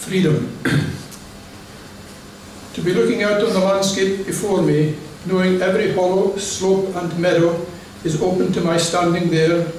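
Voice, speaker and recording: A man with a fairly high voice reads his poems aloud, measured and declamatory. He sounds distant from the microphone, and his words ring around a large, very echoey church hall. A faint hiss of room noise sits underneath. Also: fairly even intonation, a little mellow. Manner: measured, declamatory reading with brief spoken asides. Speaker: poet. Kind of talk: poetry reading before an audience.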